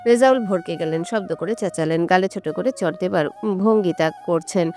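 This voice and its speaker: A woman's voice: lowish voice, moderate pace, dark tone. A woman reading a scripted story as a dramatic narrator. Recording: music bed under the voice. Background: background music.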